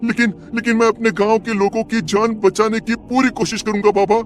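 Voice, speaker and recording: A man voicing an anxious young village man in an animated horror story, with an urgent, worried, stammering way of talking. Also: high voice, fairly quick, soft background music, lightly acted.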